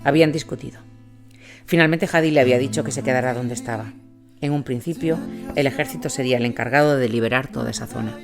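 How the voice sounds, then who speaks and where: A man's voice: mid-range voice, quick pace, creaky. A man reading a scripted war chronicle as the narrator of an audio story. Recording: music bed behind the voice. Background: music.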